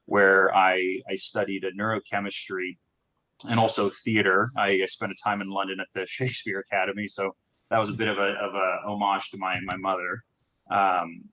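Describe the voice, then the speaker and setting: A man from Southern California, a startup founder telling his background in a relaxed talk, with many restarts and fillers. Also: fairly low pitch, poor audio. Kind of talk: conversational talk on a group call.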